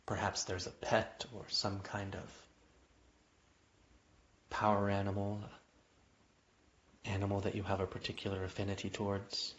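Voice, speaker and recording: Man, low pitch, guided relaxation narration, gentle, unhurried pauses, muffled audio. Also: monotone. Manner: gentle, unhurried phrasing with long pauses, invitational. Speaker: yoga nidra guide.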